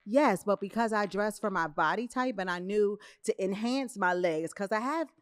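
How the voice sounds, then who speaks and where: A woman's voice: mid-range voice, normal speed, clear, resonant tone. A woman in her fifties co-hosting a podcast, chatting casually in an upbeat, encouraging way and laughing often. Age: 50s. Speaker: podcast co-host. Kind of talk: casual chat.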